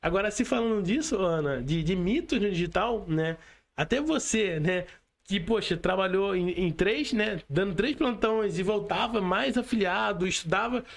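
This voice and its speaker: High gravelly carioca podcast host. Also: male voice, normal speed. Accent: Carioca Brazilian Portuguese. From Rio de Janeiro, Brazil. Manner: slangy, chatty, many fillers like "né" and "mano". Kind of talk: casual interview chat. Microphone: remote call.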